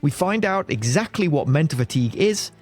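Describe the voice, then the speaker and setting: A man's voice: on the high side, expressive, brisk, darkish tone, husky, soft background music. A man hosting a podcast, welcoming his guest and asking questions in a friendly, conversational way. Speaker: podcast host.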